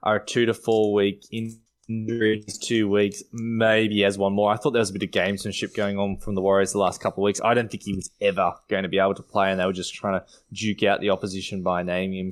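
A man chatting casually as a panellist on a sports podcast. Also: on the low side.

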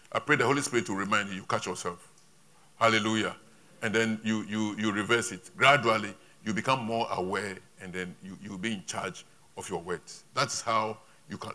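Emphatic, bright-voiced male preacher. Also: fairly low pitch. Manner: emphatic, repetitive, pauses for interpretation. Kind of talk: sermon with an interpreter. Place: church.

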